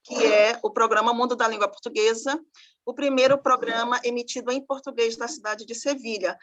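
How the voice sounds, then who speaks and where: A woman with a Northeastern Brazilian accent from Maranhão, giving an online talk as a professor and presenting a radio project, pausing often with fillers. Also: on the high side, steady tone, a bit bright. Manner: thoughtful, with frequent fillers and pauses. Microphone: video call.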